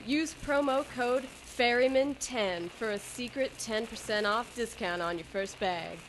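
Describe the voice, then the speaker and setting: A woman's voice: fairly high pitch, measured pace, slight background noise, low-quality recording. A woman announcer reading a folksy scripted sponsor advertisement.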